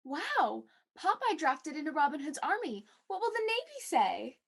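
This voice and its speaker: A woman's voice: high-pitched, very animated, normal speed, creaky, exaggerated voice. A woman playing a perky, literal-minded co-host in a 1940s-style radio show. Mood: amazed.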